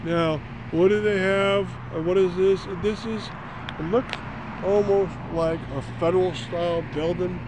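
A man's voice: high-pitched, steady tone, unhurried. A man giving a casual, rambling history tour outdoors on a town green, recorded on a phone. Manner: rambling, with fillers and self-corrections. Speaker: local history tour guide. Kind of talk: casual walking tour narration. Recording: outdoor traffic noise.

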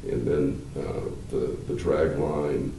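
An older man, a law professor, explaining at length in a measured, halting way with many fillers, seated in a wood-paneled room. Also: extremely low pitch, fairly even intonation, gravelly, warm tone. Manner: gestures with hands while talking. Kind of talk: explanatory interview answers. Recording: roomy, slightly distant, faint hiss.

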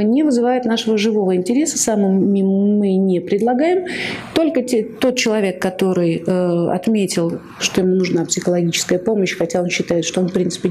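A woman's voice: medium pitch, warm tone, a little hiss or hum. A woman in her late forties giving a conference talk as an oncology psychologist, explaining earnestly with frequent fillers and self-corrections. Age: 40s. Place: conference hall, at a lectern.

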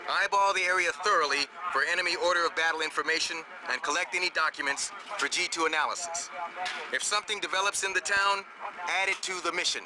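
An actor playing a blunt, hard-bitten military officer giving a businesslike briefing in a video game. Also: male voice, high voice, fairly even intonation, fast, natural voice. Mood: urgent, businesslike. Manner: blunt, businesslike, full of jargon. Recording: clear outdoor vehicle noise behind.

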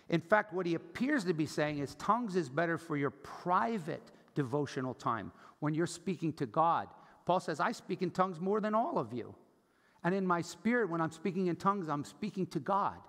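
Male American pastor, explanatory sermon delivery, gravelly fry in the voice, recorded in a reverberant auditorium. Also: a little high. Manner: conversational, explanatory, with rhetorical questions. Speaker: pastor preaching. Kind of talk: expository teaching sermon. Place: large church auditorium stage, indoors. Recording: reverberant hall sound.

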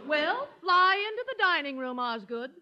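An actress playing a fussy but firm middle-aged wife with an American accent, in 1950s radio sitcom style. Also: female voice, high voice, sing-song, normal speed, over a noisy crowd, very noisy. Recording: muffled vintage radio broadcast.

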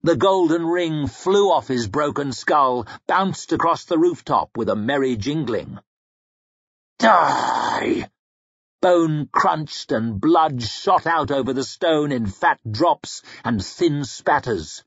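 Guy narrating a dark audiobook, slow and husky, grave dramatic delivery, dry close sound. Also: a little high, animated, dry recording, dull audio. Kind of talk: dramatic scripted fiction narration.

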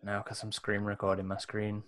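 A man's voice: on the low side, completely flat delivery, moderate pace, fairly bright tone. A man chatting casually as a player in an online tabletop roleplaying game.

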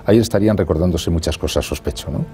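A man interviewing a guest in a formal room, asking questions in measured, formal phrasing. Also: sits low, dark tone, faint music behind. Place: ornate formal room indoors.

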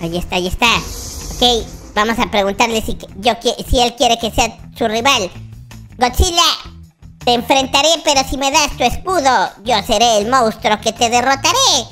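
Casting: squeaky childlike baby gamer boy, naive and excitable, highly animated children's game commentary with music behind. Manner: exclamatory, dramatic, repetitive. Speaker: YouTube gamer playing a character.

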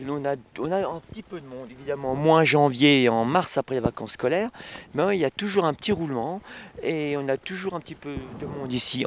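Halting middle-aged ski instructor, outdoors. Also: male voice, on the high side. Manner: halting, frequent "hein" and "évidemment" tags. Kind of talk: casual interview answers. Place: outdoors on a snowy ski slope. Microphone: handheld mic.

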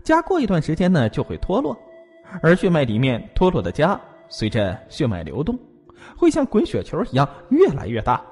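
An actor playing a glib court physician in an audio drama, explaining emphatically and deferentially. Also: male voice, a little high, wildly swooping pitch, moderate pace, darkish tone, husky, heavily acted. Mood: earnest, explaining. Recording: long hall reverb, music behind.

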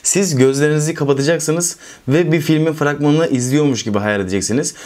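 A man's voice: fairly high pitch, brisk, darkish tone. A man, hosting a video in a casual chat to camera from a room at home. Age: 20s. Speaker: host of a video channel. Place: small furnished room at home.

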